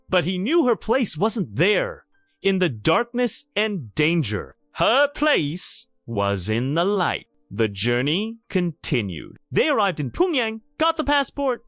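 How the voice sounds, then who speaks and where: Animated higher-pitched male storyteller. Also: dry recording, studio quality. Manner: theatrical, emphatic delivery. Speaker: story narrator. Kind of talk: dramatic scripted romance storytelling.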